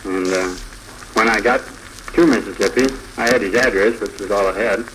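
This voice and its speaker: A man in his thirties telling his story as a radio interview guest, in a plain, halting way. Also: fairly low pitch, a bit bright. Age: 30s. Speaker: bridegroom, show guest. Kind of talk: unscripted personal storytelling in interview. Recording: faintly noisy 1940s radio recording.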